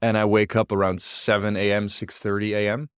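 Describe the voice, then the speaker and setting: A young Dutch man with a Dutch accent, a startup founder chatting as an interview guest, relaxed and laughing softly. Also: fairly low pitch, brisk, clear, resonant tone, no echo, clean studio sound. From Netherlands. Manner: relaxed, frequent restarts and soft laughs. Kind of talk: casual interview chat.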